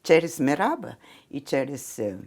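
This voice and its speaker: A woman's voice: deep voice, very animated, normal speed, a little mellow. A woman in her seventies with an Italian accent, who grew up speaking Italian, tells her memories as an interview guest, laughing often and acting out the voices of people she quotes. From Italy. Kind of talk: reminiscing conversation.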